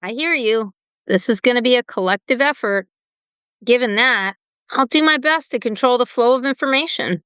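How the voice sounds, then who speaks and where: Woman acting as clear-voiced, determined corporate leader. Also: fairly high pitch, moderate pace, fairly bright tone, no echo, natural voice. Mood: conciliatory. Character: determined, earnest female change-program leader at software firm. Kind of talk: amateur radio play dialogue.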